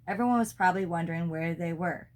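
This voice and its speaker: A woman's voice: fairly low pitch, fast. A woman reading a children's storybook aloud expressively, as a mother does with her child.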